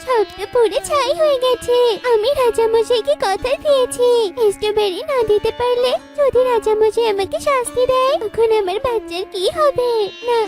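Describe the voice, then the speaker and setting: A woman's voice: extremely high pitch, moderate pace, hardly any pauses, loud music behind the voice. A woman voicing a worried, devoted mother bird in a children's cartoon, earnest and pleading. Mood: distressed, pleading.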